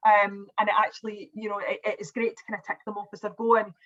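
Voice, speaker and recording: A woman's voice: medium pitch, level tone, fast, fairly bright tone, lo-fi sound. A woman presenting on an online webinar, chatting casually with fillers and restarts over a video call. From Scotland.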